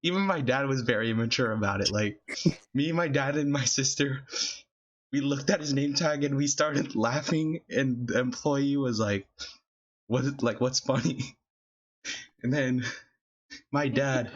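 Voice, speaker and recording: A man's voice: mid-range voice, a little slow, pauses often. Rambling young man telling stories. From Filipino family, California. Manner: rambling, laughs through his stories, many fillers. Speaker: podcast host. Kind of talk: casual storytelling chat. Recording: dull lo-fi podcast audio.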